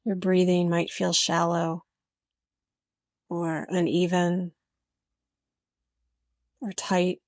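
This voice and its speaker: Female meditation narrator, gentle and measured, slightly low register, dry close sound. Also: little pitch movement, no echo. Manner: gentle, unhurried, with frequent pauses. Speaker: meditation guide.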